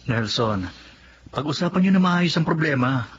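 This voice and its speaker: A man playing a steady, sensible Filipino friend who reasons and placates, in radio drama style. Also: on the high side, moderate pace, lightly acted. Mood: calm, sensible. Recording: dull audio with background noise.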